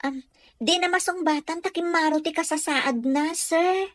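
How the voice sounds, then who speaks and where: A woman's voice: extremely high pitch, moderate pace, a bit bright, dry recording. A woman with a Filipino accent playing an earnest, deferential nurse in a radio drama. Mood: guarded, defensive. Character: deferential female nurse caring for her boss, earnest. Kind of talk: Ilocano radio drama acting.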